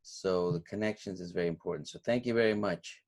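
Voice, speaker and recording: A man's voice: sits low, a little fast, a little mellow. A man whose first language was Turkish, a course instructor giving feedback and asking questions in a class, rambling and thinking aloud with many fillers.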